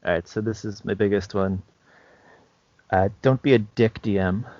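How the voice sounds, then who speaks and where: A man co-hosting a podcast about tabletop games, talking casually in a halting, conversational way with a gravelly voice. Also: lowish voice, steady tone, a little mellow, no room sound, highs cut off. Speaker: podcast co-host and game master.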